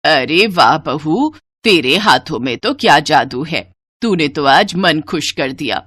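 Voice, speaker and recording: Woman as a lower-voiced homely mother-in-law. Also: lively intonation, slow pace, fairly bright tone, no echo, exaggerated voice. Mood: delighted. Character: kindly middle-aged mother-in-law, homely and commanding.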